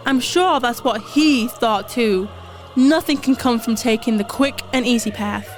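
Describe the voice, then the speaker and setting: A woman's voice: on the high side, unhurried, a little hiss or hum, lightly acted. A woman playing a stern, resolute Jedi warrior in a fan audio drama, firm and commanding. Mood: warning.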